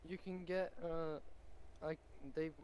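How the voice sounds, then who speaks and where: A man's voice: a little high, level tone, takes it slowly, darkish tone, a little hiss or hum. A man chatting casually and a little hesitantly as a player in an online roleplay game.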